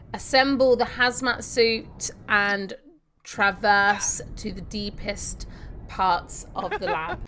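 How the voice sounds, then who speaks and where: High-voiced woman giving chatty game commentary. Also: bright, forceful tone, slight background noise. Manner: chatty, talks to herself while playing. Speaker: video game walkthrough host. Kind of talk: casual gameplay commentary mixed with reading on-screen text.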